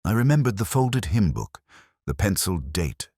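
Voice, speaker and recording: A man narrating a first-person detective story in a measured, reflective, literary manner. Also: low voice, big pitch swings, mellow, breathy edge, dry recording, clean studio sound.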